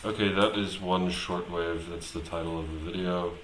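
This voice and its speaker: A man's voice: deep voice, steady tone, moderate pace, a bit bright. A man talking casually and unscripted on a live stream, hesitant and rambling as he thinks aloud. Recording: echoey room, clear background noise.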